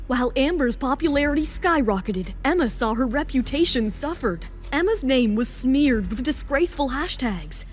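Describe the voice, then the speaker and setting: A woman narrating a scripted audio drama in a dramatic storytelling style, with music underneath. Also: high-pitched. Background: background music.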